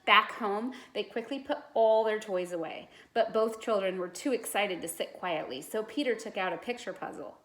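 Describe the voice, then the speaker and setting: A woman's voice: mid-range voice, normal speed, hardly any pauses, fairly bright tone, rough recording. A woman reading a children's storybook aloud like a teacher, expressively and with dramatic emphasis.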